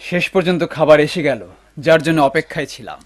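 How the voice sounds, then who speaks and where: A man playing an anxious, excitable, inexperienced young son in a cartoon, full of questions. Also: fairly high pitch, quick pace, no echo, close to an everyday voice. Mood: polite. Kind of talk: animated cartoon dub acting.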